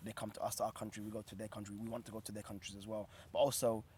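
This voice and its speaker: A young British man runs a casual interview, asking winding questions and cutting in with steady "yeah"s of agreement. His voice sits slightly low, with faint background noise behind him. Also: rapid-fire. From United Kingdom. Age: young adult. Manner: affirming, gives frequent short "yeah" responses.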